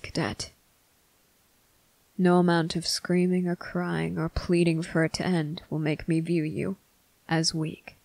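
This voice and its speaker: A performer plays a male vampire captain, stern yet quietly tender. The voice is slow and creaky, recorded very dry and intimate for ASMR roleplay. Phrases come clipped and deliberate, set apart by pointed pauses. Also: medium pitch, no room sound, low-quality recording. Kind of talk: ASMR roleplay addressed to the listener.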